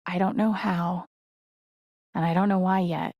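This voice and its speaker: An actress playing a friendly, wry and earnest young woman in a video game, talking in a casual, gently teasing way. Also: on the low side, fairly even intonation, measured pace, no echo, dull audio. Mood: earnest, determined. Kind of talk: naturalistic video game dialogue acting.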